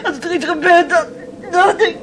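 Very high-pitched, tearful young woman. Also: moderate pace. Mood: sobbing, afraid. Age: young adult. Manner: tearful, overwrought. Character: frightened, desperate young bride-to-be of a fisherman. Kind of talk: melodramatic radio drama acting. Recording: noisy old radio play recording.

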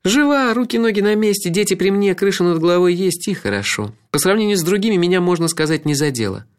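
Brief: a male reader putting on a high, lively woman's voice, teasing and battle-worn, for an audiobook. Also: fast. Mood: wry, stoic. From Croatia. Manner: teasing, matter-of-fact, stoic. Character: wry red-haired woman, warm but battle-worn. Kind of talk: audiobook character voice.

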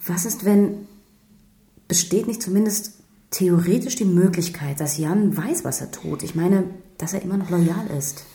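Mellow, uneasy policewoman. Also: fairly low pitch, a little slow, echoey room. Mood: anxious, impatient. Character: uneasy, questioning female police investigator. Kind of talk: German radio crime drama acting.

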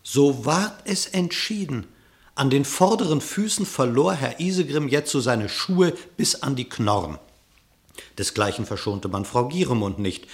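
A man narrating an epic verse fable for a radio play in an expressive, literary storytelling manner. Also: mid-range voice, vocal fry.

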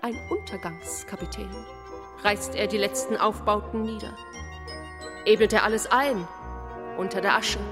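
A woman performing solemn, poetic narration in a radio play, speaking deliberately. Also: mid-range voice, lightly acted. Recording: loud music behind the voice.

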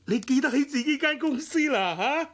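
A man's voice: extremely high pitch, lively intonation, moderate pace, fairly bright tone, heavily acted. Fussing, anxious male company deputy, Hong Kong Cantonese. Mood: anxious, insistent. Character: anxious, placating company deputy general manager. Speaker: voice actor in radio drama. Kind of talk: radio drama acting.

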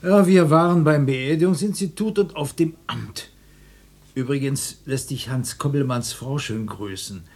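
A middle-aged family father in radio drama, husky and casual. He is jovial and patronizing, bossing the household about with bumbling breeziness. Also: mid-range voice, unhurried, a little mellow. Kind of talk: German radio play acting.

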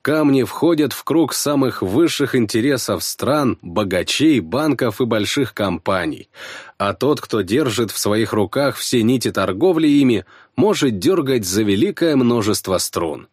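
A man narrating first-person fiction as an audiobook reader, in an expressive storytelling manner. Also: medium pitch, unhurried, husky, dry recording, clean studio sound.

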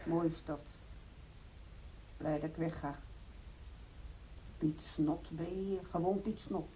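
A woman's voice: deep voice, steady tone, slow pace, mellow, slight background noise. An actress playing an indignant female hospital patient in a radio drama, complaining in a whining, sulky way. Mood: sulky muttering. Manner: whining, sulky, easily offended. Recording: dull lo-fi radio play recording.

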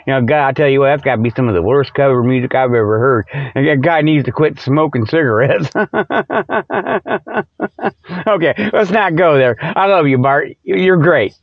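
High-voiced man rambling folksily, dull dry audio. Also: lively intonation, moderate pace, gravelly, no echo. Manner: folksy, jokey, chuckles at own jokes. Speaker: host of a comedy radio show. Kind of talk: rambling ad-libbed comic monologue with mock sales pitches.

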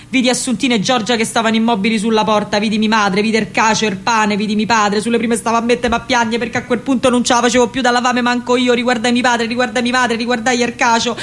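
A woman's voice: on the high side, lightly acted. An actress playing a spirited young working-class Roman woman, a wartime partisan courier, telling her story in an earthy, vivid dramatic monologue with a Roman accent. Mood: tense, suspenseful. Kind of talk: dramatic first-person monologue in Roman vernacular.

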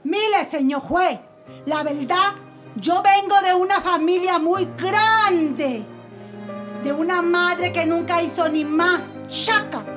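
Woman as sassy Mexican showgirl, very high voice, slow suggestive delivery, radio comedy. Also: over music. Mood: pleading. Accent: Mexican Spanish. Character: brash adult woman showgirl defendant. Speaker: defendant in a comic court sketch. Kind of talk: comic radio sketch acting.

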